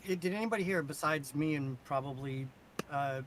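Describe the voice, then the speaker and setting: A man chatting casually as a podcast co-host. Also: a little fast. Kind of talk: casual chat. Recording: noisy mic with low rumble.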